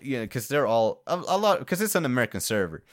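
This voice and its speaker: A man's voice: mid-range voice, sing-song, fast talker, dry recording, clean studio sound. A young man from Sweden with a Swedish accent, co-hosting a podcast in rambling, joking casual chat and swearing freely. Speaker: podcast co-host.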